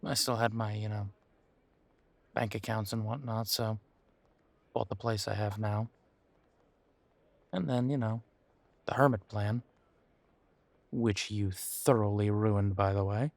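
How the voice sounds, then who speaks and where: A man's voice: on the low side, steady tone, takes it slowly, vocal fry, pauses often, dry recording. A man playing a bitter, wounded werewolf confiding in his partner in an intimate audio roleplay, with a sardonic, hesitant, conversational delivery. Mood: sardonic, hurt.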